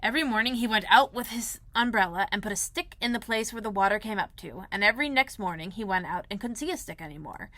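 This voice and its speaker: A woman narrating a children's storybook aloud in an expressive style, like a school librarian. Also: mid-range voice, no echo.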